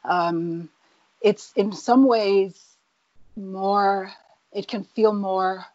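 A woman who grew up in Nigeria, an author answering interview questions thoughtfully, with many pauses and restarts. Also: mid-range voice, steady tone, darkish tone, no echo, muffled recording. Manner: hesitant, many fillers and restarts. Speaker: author, guest.

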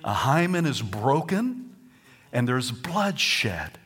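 A man with a Southern US accent preaching a sermon as pastor, lively and at times rising to near-shouts and singing. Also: mid-range voice, slow, husky.

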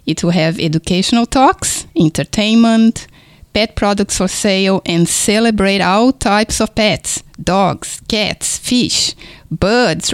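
A woman from Brazil with a Brazilian Portuguese accent, hosting a community radio show in a friendly, unhurried way. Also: mid-range voice, dry recording. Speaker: radio host and dog trainer. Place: radio studio.

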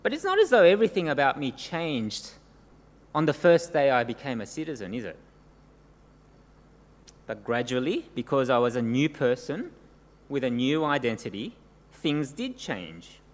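A man's voice: mid-range voice, sing-song, normal speed, pauses often. A middle-aged man, born in Korea, preaching an earnest sermon to a congregation and often ending points with tag questions. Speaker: pastor. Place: church service before a congregation. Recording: long church-hall reverb, faint noise.

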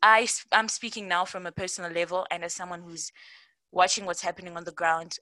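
A woman's voice: on the low side, little pitch movement, brisk, bright, forceful tone, no echo. A woman speaking on a discussion panel, thoughtful and given to rephrasing her points as she goes. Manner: thoughtful, rephrases mid-sentence.

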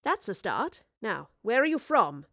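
A woman's voice: on the high side, very animated, a little slow, a bit bright, no room sound, heavily acted. Woman playing a haughty, witty lady professor, dry sound. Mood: defiant, demanding. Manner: arch, commanding, theatrically genteel. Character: bold, witty woman professor, haughty time-travelling adventurer.

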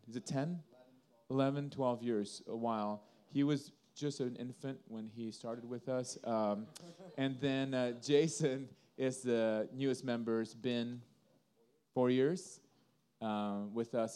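Guy from indonesia, band musician doing a casual interview, mellow voice, earnest storyteller. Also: mid-range voice, smooth.

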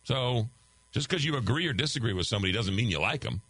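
A man's voice: mid-range voice, animated, quick pace, a bit bright, breathy edge. An older man hosting a call-in talk radio show, loosely reading listener texts and ad copy while losing his voice. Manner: loose, conversational, reads listener texts aloud. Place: radio studio. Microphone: studio microphone.

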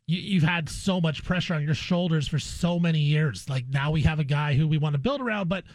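A man with a slightly high voice hosts a sports radio interview. He talks conversationally and informally, addressing his guest like a buddy. The sound is dry and close, with very little room on it. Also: fairly quick, no room sound.

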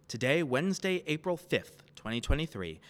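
A man's voice: fairly high pitch, very animated, normal speed. A man reading a scripted introduction and announcements as a podcast host.

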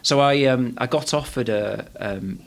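A man's voice: medium pitch, a little slow, vocal fry. Hesitant man with a Manchester accent. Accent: Manchester English. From England. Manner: hesitant, many restarts and fillers. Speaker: guest, head of a management body. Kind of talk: podcast interview.

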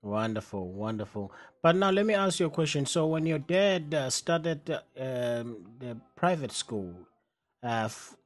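A male radio host interviewing a guest, enthusiastic and halting, with many fillers and restarts. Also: mid-range voice, sing-song.

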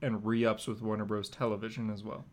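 A man's voice: lowish voice, little pitch movement, a little fast. A man co-hosting a podcast in loose, casual chat. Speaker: podcast co-host.

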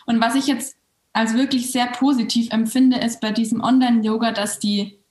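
A woman's voice: a little high, fairly even intonation, moderate pace, darkish tone. A woman yoga teacher talking in a casual interview. She hesitates, often restarts her sentences and uses fillers. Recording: roomy echo.

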